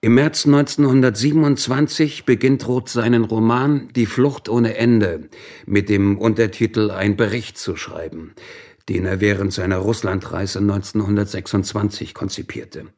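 A man's voice: fairly low pitch, steady tone, normal speed, darkish tone. A man reading scripted biographical narration as a documentary narrator.

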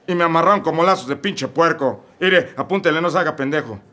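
A man's voice: a little high, fast, sharp tone. A man playing a vulgar, brash male defendant in a Mexican radio comedy sketch, heckling the court. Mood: indignant.

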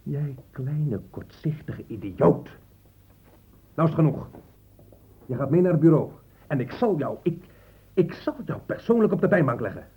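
An actor playing a stern, impatient police inspector in a radio play, blustering and condescending with threats. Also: male voice, on the high side, big pitch swings, moderate pace, pauses often, breathy edge, full character voice. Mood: furious. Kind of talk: radio play acting in Dutch. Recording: muffled, phone-like old radio recording.

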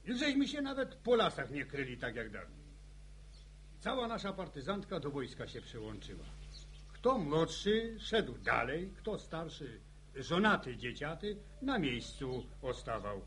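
Hearty villager, animated swooping intonation, slightly high voice, emphatic storyteller, radio play. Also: male voice, measured pace, slight background noise. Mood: reminiscing. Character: hearty village man, former partisan, reminiscing proudly. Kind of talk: radio drama acting.

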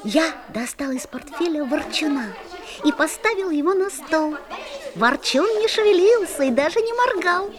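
A woman's voice: sits high, animated, moderate pace, a crowd in the background. A woman voicing a curious young schoolboy who narrates a musical fairy-tale audio play in an earnest, storytelling way.

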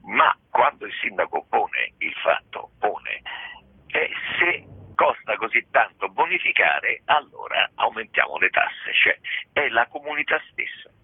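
A man speaks in a deep, heavily rasping voice over a narrow, dry phone connection. He explains at length in a conversational interview style, emphatic and discursive, repeating phrases and posing rhetorical questions. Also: sing-song, measured pace, dry recording. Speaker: theatre practitioner being interviewed. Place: phone call into a radio programme. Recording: muffled, thin telephone line on radio.

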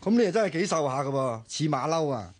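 A man's voice: on the high side, sing-song, fast talker, dry recording. An actor playing a good-natured, bantering young man in a radio drama, with a Hong Kong Cantonese accent. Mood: teasing.

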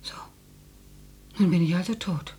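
A woman's voice: lowish voice, unhurried, warm tone, slightly rough. An actress playing an elderly, unflappable housewife and grandmother in a radio drama, speaking in a dry, matter-of-fact way. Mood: dryly surprised. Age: older.

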